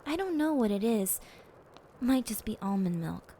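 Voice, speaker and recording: Casting: meek young female sidekick for audio drama , played by a woman, high soft-toned voice, hesitant, noisy ambience behind. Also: sing-song, moderate pace. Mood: curious, meek. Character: timid, apologetic, sweet young female detective's assistant. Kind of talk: audio roleplay acting.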